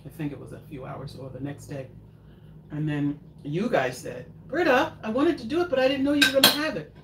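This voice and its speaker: A woman's voice: low voice, expressive, a little fast. An older woman hosting a live home cooking show, chatting and rambling as she answers viewer questions. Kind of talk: casual live chat while cooking. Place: home kitchen, indoors. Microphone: far camera in front of counter. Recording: echoey kitchen, noisy, mic at a distance.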